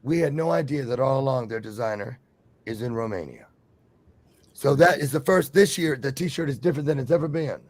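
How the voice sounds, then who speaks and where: A man speaking with effusive, animated enthusiasm on a talk show, eager to keep the floor. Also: mid-range voice, a little fast. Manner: effusive, animated, talks over others.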